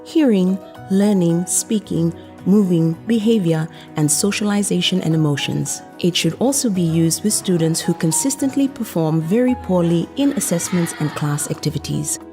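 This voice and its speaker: Lower-pitched woman narrating over music. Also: moderate pace, hardly any pauses, mellow. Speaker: training film narrator. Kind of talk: scripted instructional narration. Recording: music behind the voice. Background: background music.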